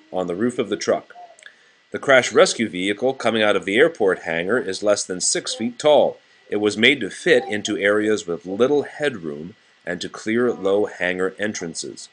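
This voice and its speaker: A man's voice: on the low side, a little hiss or hum. A man reading a nonfiction children's book aloud to a child in a plain, explanatory way.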